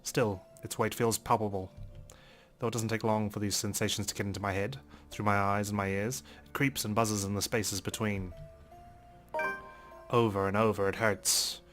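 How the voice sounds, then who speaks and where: A man hosting a let's play, reading a visual novel aloud in a measured, theatrical way. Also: fairly low pitch, little pitch movement, fairly bright tone, soft background music. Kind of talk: dramatic read-aloud narration of a visual novel with commentary.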